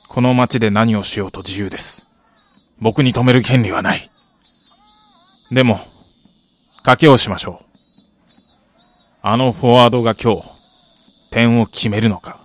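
An actor playing a middle-aged brother-in-law in a radio drama, formal and deliberate, polite but bitterly reproachful. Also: male voice, lowish voice, no room sound, natural voice. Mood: bitter, firm.

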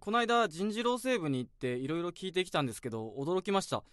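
A friendly young office junior in a radio drama, polite but easygoing. His voice is slightly high and lively in pitch. The sound is dry and close. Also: fairly quick, no echo. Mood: chatty. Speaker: junior coworker.

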